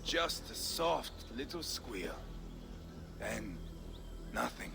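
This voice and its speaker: An actor playing a bloodthirsty, taunting Viking warrior in a video game, theatrical and unhinged, moving between whispers and roars. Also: male voice, mid-range voice, big pitch swings, extremely slow, creaky, over music, full character voice. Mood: gloating, taunting. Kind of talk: dramatic video game acting.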